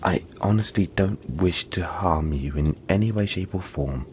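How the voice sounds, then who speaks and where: A man voicing a big, friendly, apologetic yeti in an audio roleplay, speaking gently to the listener in a halting, chatty, playful way. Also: low voice, slight background noise, natural voice. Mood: gentle, modest.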